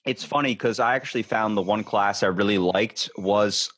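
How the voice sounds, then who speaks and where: A man's voice: fairly low pitch, normal speed, a bit bright, no echo. A man hosting a podcast interview, loose, rambling and jokey in casual chat. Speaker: podcast host.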